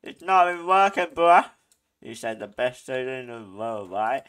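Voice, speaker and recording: A man hosting a gaming channel, giving excitable play-by-play commentary, often laughing and yelping in alarm. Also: mid-range voice, moderate pace, clean tone. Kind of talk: live gameplay commentary.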